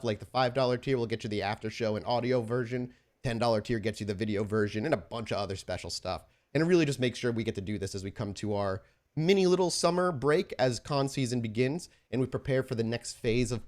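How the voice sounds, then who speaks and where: A man's voice: medium pitch, a little mellow. A man acting as host and storyteller of a tabletop horror game podcast.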